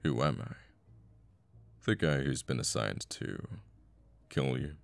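A man playing a cocky assassin boyfriend in an intimate ASMR audio roleplay, murmuring and sweary, with sighs and pauses. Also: rumbling low, gravelly, no room sound, exaggerated voice. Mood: quiet menace. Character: cocky young male assassin, flippant, menacing, secretly a devoted boyfriend.

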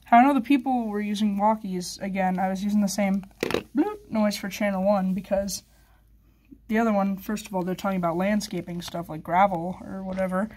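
A woman's voice: mid-range voice, steady tone, moderate pace, a little mellow, slight background noise. A woman chatting informally in a hobby vlog, rambling and thinking aloud as she goes. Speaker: hobbyist video maker. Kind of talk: casual vlog chat.

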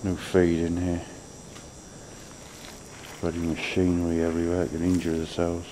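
A man giving a low, often whispered, blunt running commentary as he films inside dark farm barns at night on a handheld camera. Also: monotone, normal speed. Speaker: undercover animal welfare investigator. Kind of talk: hushed running commentary while filming. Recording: noisy handheld camcorder audio.

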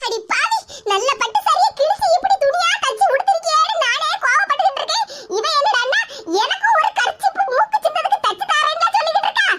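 A woman playing a quick-tempered older mother-in-law who scolds sharply. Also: very high-pitched, animated, heavy background noise, pitched above the performer's own voice. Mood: indignant. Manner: sharp, scolding, fast-talking.

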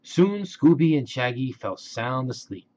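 A man narrates a children's story as a read-aloud. His middle-pitched voice moves slowly with plenty of intonation. The recording sounds a little dull. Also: big pitch swings, a little mellow.

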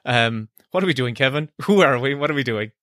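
A man with a softened Cork country accent, co-hosting a casual podcast in a playful, self-deprecating way. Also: mid-range voice, expressive, a little fast, dry recording, studio quality. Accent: Irish, Cork country accent softened by years away. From Ireland, Cork. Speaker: podcast co-host, screenwriter. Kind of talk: casual chat.

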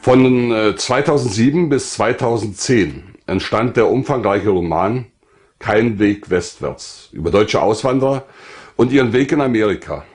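Low-voiced older man with lively pitch. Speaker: author presenting his work. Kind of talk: scripted self-presentation, reading.

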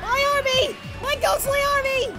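A woman voicing an excitable cartoon martial-arts hero, giving brash, crude reaction commentary. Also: very high-pitched, moderate pace, over music. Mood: gleeful, brash. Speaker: reaction channel host.

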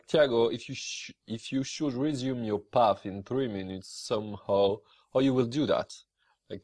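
Young male podcast host, French accent, medium pitch, informal chatty interviewing. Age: young adult. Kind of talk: casual interview chat. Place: small indoor room, video call.

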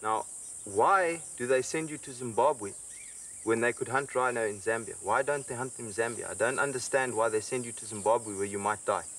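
A man's voice: mid-range voice, normal speed, fairly bright tone. A man interrogating someone outdoors, pressing with repeated questions. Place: outdoors in the bush. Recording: distant outdoor mic, faint insect noise.

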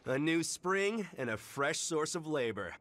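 A man's voice: a little high, big pitch swings, unhurried, fairly bright tone, a little hiss or hum. A man playing a gleeful, menacing, bullying slaver thug in a video game dub. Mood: gloating. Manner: gloating, bullying.

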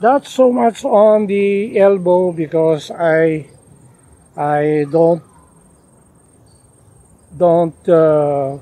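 Older guy from the philippines, slowish, mellow voice, chatting about his jacket in a garden. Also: a little high, no echo. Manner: unhurried, many "uh" fillers and restarts. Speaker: denim collector reviewing his jacket. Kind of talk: casual show-and-tell. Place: outdoors in a leafy garden.

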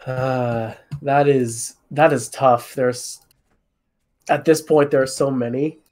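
A man's voice: mid-range voice, fairly even intonation, takes it slowly, a little mellow. Casual man with a mid-range voice. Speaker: music composer and panelist. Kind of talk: casual panel chat.

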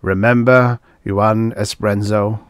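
Man as a grim low-voiced producer, harsh and calculating. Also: level tone, a little slow, clear, resonant tone, no room sound, clean studio sound, natural voice. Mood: grim resignation. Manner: harsh, dark, solemn. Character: grim, domineering male show producer, coldly calculating.

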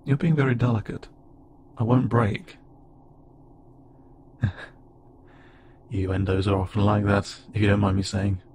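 A man playing an awkward, gentle insect-like alien pilot who speaks haltingly and unsure of himself, in an intimate audio roleplay. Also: fairly low pitch, fairly even intonation, close to an everyday voice. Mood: curious, softening. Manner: hesitant, halting, stammering and self-doubting. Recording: clearly noisy, with ambient sound bed.

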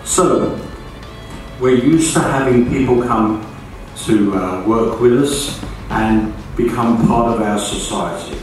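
An older man from the United Kingdom giving a formal speech at a podium in a large school auditorium, reading from notes at a measured pace. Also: medium pitch, faint music behind. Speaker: guest speaker. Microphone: podium mic from far camera. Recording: echoey hall, far from mic.

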